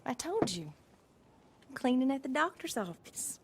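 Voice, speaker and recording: A woman's voice: sits high, sing-song, measured pace, noisy background. An actress playing a tired, caring frontier wife and mother in a Western game, plain-spoken. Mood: matter-of-fact. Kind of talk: naturalistic Western game acting.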